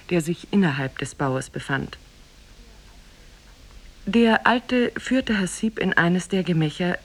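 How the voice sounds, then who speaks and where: An actress narrating an Arabian Nights tale in a measured, formal storytelling manner for a 1950s German radio play. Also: female voice, low-pitched, natural voice.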